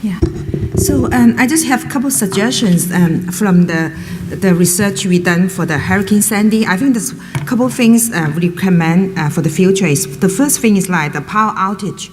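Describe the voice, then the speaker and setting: A woman's voice: lowish voice, a little mellow. A woman professor on a panel, speaking tentatively and with plenty of fillers into a panel microphone at a public event. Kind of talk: panel discussion answer. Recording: roomy hall reverb, faint noise.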